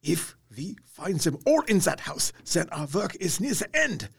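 An actor playing an elderly, earnest and resolute professor with a raspy, creaky voice in an audio drama. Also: male voice, high voice, big pitch swings, a little slow, no echo, studio quality, exaggerated voice.

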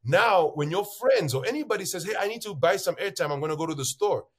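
A man from Namibia, a guest on an interview show, speaking loudly and telling stories with quoted voices. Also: high-pitched, fast, husky. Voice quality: loud voice. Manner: acts out bar conversations, lively quoted voices. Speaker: bitcoin entrepreneur guest. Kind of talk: animated interview storytelling.

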